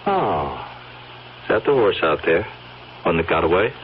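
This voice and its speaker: An actor with an American accent playing a folksy, slyly probing police sergeant in a radio drama, asking questions in a hesitant, seemingly casual way. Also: male voice, low voice, very animated, unhurried. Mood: casually probing. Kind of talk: old-time radio drama acting. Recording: dull, noisy old radio recording.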